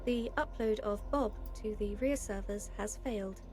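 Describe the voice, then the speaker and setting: A woman's voice: mid-range voice, moderate pace, a little mellow, soft background music, lightly acted. A woman playing a composed, capable female artificial intelligence in an audio drama, speaking in formal, clipped statements. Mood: formal, insistent. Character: female AI overseer candidate, calm, capable, insistent, overly nice.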